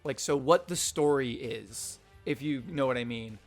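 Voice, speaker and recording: A young man with a slightly hoarse, worn voice talks loosely in a mid-range pitch, trailing off and restarting his sentences as he thinks aloud. Faint music plays softly underneath. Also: a little slow. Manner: rambling, many fillers and restarts. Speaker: manga review vlogger. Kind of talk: casual unscripted commentary.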